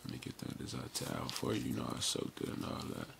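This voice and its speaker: An American man in his twenties doing a soft-spoken ASMR barber roleplay, murmuring slangy, repetitive reassurances close to the mic. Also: fast. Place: small room indoors. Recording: noisy close mic with handling sounds.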